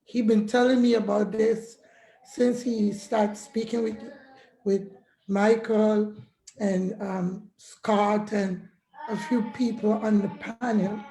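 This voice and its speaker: Hoarse woman speaking slowly on a call. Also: mid-range voice, warm tone, reverberant, rough recording. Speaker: guest caller. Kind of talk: casual testimonial. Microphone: video call.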